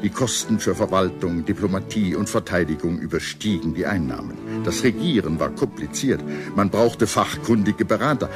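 Low-voiced male documentary narrator. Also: animated, moderate pace. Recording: music playing behind the voice. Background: background music.

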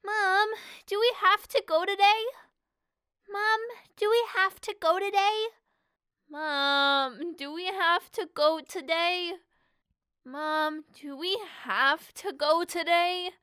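A woman's voice: very high voice, slow pace, bright, forceful tone, no room sound, studio quality, pitched above the performer's own voice, full character voice. A woman voicing a small young wolf pup in a cartoon audition, reluctant and pleading in a childlike whine.

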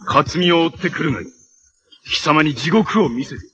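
An actor playing a menacing armored cyborg villain in a 1980s Japanese superhero TV show, making imperious, theatrical proclamations. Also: male voice, fairly high pitch, expressive, normal speed, muffled recording, crowd noise, close to an everyday voice. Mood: taunting, cruel.

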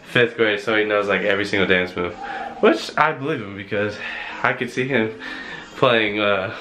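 A man's voice: fairly low pitch, moderate pace, pushed and bright, a little hiss or hum. A young man casually talking to his phone camera at home, rambling and slangy as he wraps up his vlog. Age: young adult. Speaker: vlogger. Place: indoors at home, small room. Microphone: phone held at arm's length.

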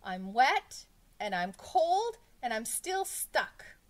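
A woman voicing a whiny, panicky young schoolboy from a children's storybook, exclaiming and pleading in drawn-out cries. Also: lowish voice, big pitch swings. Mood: distressed.

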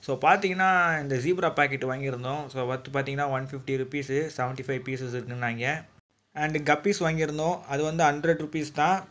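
A man's voice: mid-range voice, fast, fairly bright tone. A man giving casual, enthusiastic vlog commentary as a channel host, often addressing his viewers directly while filming by hand. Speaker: vlogger, aquarium channel host.

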